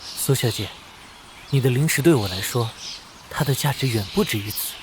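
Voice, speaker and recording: A man playing a shrewd, ambitious young mecha-builder hero, assured and persuasive, in an animated fantasy drama. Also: mid-range voice, moderate pace, a little hiss or hum, lightly acted. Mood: cool, assured.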